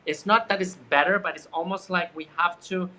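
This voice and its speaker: Bright, higher-voiced man in his thirties. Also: steady tone, a little fast, a little hiss or hum. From Chile. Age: 30s. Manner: rambling, searching for words, many fillers. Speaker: guest. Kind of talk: casual spiritual conversation.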